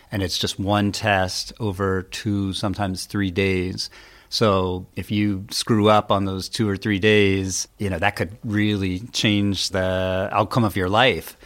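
A man's voice: deep voice, a bit bright. A man speaking as a journalist guest, explaining things in a casual, colloquial and slightly wry way.

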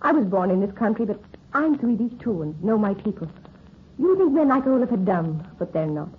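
A woman's voice: mid-range voice, sing-song, brisk, phone-quality sound, close to an everyday voice. A thin, hissy old radio recording of an actress playing a nervous young woman in love. She pleads anxiously in a melodramatic radio style. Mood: anxious, lovestruck. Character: nervous, lovestruck young Swedish-American woman. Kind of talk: 1930s radio acting.

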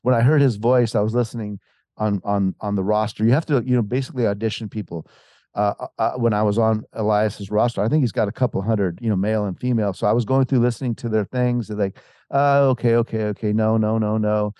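Rambling man with a dark, dry voice. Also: fairly low pitch, steady tone, quick pace, dry recording. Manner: rambling, with many restarts and fillers; acts out quoted voices. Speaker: author and interview guest. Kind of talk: casual conversational storytelling.

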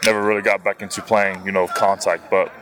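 Young kiwi-born guy chatting loosely, lots of ums, low voice, people around. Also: a little fast, a crowd in the background. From New Zealand. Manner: relaxed, many fillers. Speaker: interviewed rugby player. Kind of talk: casual interview answers.

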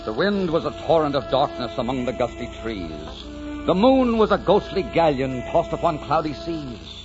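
A man playing a dashing, bold, flirtatious highwayman in suave, theatrical 1940s radio style. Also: sing-song, moderate pace. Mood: dramatic narration. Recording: dull, noisy 1940s radio recording, music behind.

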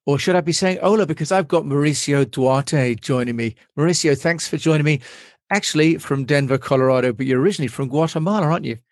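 A man's voice: fairly high pitch, fast, no room sound, studio quality. A man hosting an interview show, introducing his guest and setting up questions in a presenter's style. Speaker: podcast host.

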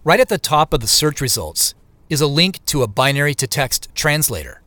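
Audio drama lead, male actor: introspective, determined male sleuth narrating deliberately, slightly raised pitch with very varied intonation. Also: big pitch swings, bright, forceful tone, dry recording. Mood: focused, determined. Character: inquisitive male amateur investigator, reflective and determined. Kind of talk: first-person scripted audio drama narration.

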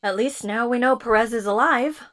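A woman's voice: on the high side, a bit bright, close to an everyday voice. An actress playing a decisive, scheming woman who leads a small crew in an audio drama. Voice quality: brisk and decisive, dry. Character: scheming, commanding woman leader.

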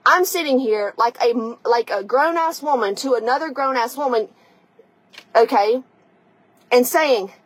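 A woman's voice: fairly high pitch, measured pace, rough recording. A woman podcast host and coach giving a blunt, profane, emphatic tough-love motivational talk, speaking conversationally and straight to the listener. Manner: conversational, addressing the listener directly.

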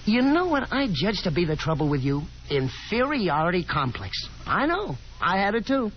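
A man's voice: high voice, very animated, moderate pace. An actor playing a breezy, self-assured young man in a 1950s radio drama, hearty and folksy with small hesitations. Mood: confident, advising. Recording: muffled, noisy 1950s radio broadcast.

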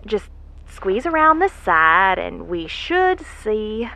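A bright-voiced, unhurried woman explorer in an audio drama. She is chatty and curious, muttering folksy asides to herself as she works alone. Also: mid-range voice, big pitch swings, a little hiss or hum, full character voice, rough recording. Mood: curious, wary. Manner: folksy, muttering to herself, breathy sighs and hesitations.

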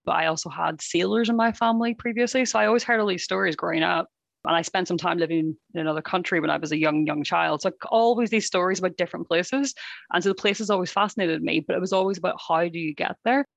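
A woman's voice: lowish voice, quick pace, vocal fry, dry recording. A woman from Ireland, a civil engineer, chatting enthusiastically as a podcast guest with lots of filler words. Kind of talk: casual chat.

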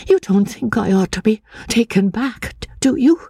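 A woman playing a timid, high-voiced, childlike young woman. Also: expressive, normal speed, warm tone, dry recording, full character voice. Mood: fearful. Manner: hesitant, stammering, breathy, repeating words. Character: timid, confused young woman, asylum escapee, childlike and anxious. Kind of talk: dramatic audiobook reading of classic literature.

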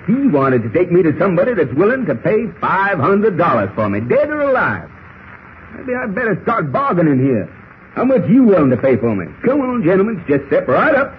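An actor playing a folksy, talkative, excitable cowboy with an American Western drawl and colorful slang in a 1940s radio style. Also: male voice, sits high, brisk. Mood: bargaining, wry. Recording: tinny, noisy old radio recording.

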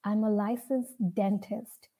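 Mellow-voiced young Indian-accented woman. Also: medium pitch, steady tone, slow pace, breathy edge. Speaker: speaker sharing a personal story. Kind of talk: personal storytelling, unscripted talk.

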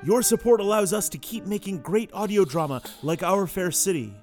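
Man reading a producer's announcement, higher voice. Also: lively intonation, normal speed, a little mellow, faint music behind. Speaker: executive producer. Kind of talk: scripted announcement.

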